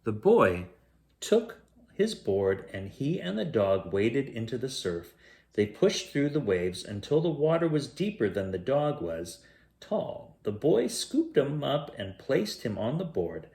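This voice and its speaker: A man reads a children's bedtime story aloud. His voice sits at an average male pitch and moves up and down with lots of animation. He takes the phrases in a measured way, leaning expressively on key words. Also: very animated, darkish tone. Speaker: bedtime story reader, host. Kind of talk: reading a children's picture book aloud.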